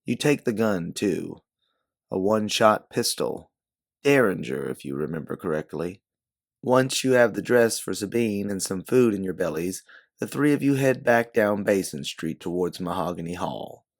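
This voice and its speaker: Male story narrator, low register, gravelly edge, scripted second-person prose. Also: lively intonation, moderate pace. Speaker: narrator and author.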